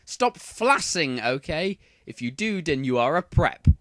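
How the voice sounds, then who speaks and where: A man voicing a petulant, defensive teenage fan-fiction author who whines slowly. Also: on the high side, sing-song, fairly bright tone, no room sound, voice pushed up. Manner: whiny, petulant, drawn-out. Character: whiny defensive teen fanfic author.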